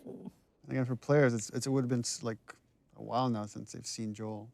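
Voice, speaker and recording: A man's voice: medium pitch, fairly even intonation, fairly quick, creaky, darkish tone, no echo. A man talking casually as a game director giving commentary.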